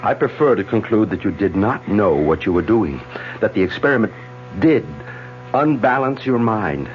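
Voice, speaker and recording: A man's voice: on the low side, lively intonation, moderate pace, lightly acted. An actor playing Philip, a man who coaxes and then solemnly persuades, in 1940s radio-drama style. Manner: urgent, coaxing, then solemn. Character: man named Philip, anxious and controlling. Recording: dull old radio broadcast with music.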